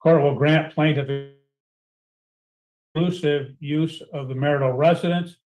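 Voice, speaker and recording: A man's voice: fairly high pitch, fairly even intonation, darkish tone, low-quality recording. Man reading court orders formally. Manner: measured, formal, reads out orders. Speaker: judge in a court hearing.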